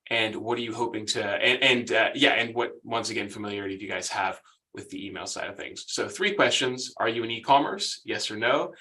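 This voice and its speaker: A man's voice: fairly low pitch, a little fast, pushed and bright. A young man with an American accent giving a chatty, upbeat webinar presentation as the guest speaker. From United States. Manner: upbeat, chatty, laughs often.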